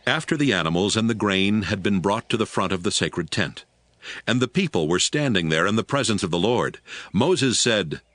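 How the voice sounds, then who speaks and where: A man reading scripted scripture narration for an audiobook in a measured, solemn way. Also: on the low side, lively intonation, fairly bright tone, dry recording. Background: music.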